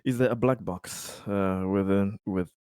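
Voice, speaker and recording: Guy playing a tabletop rpg, low voice, agreeable, stop-start chatting, dry mic. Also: fairly even intonation, a little slow, darkish tone, smooth, dry recording. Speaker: tabletop game player. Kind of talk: casual game-table chat.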